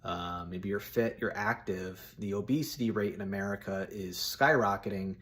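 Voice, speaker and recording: A man's voice: on the low side, normal speed, a bit bright. A man in his thirties chatting casually and bluntly to his viewers, with informal, buddy-like phrasing. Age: 30s. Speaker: solo video host giving personal opinions.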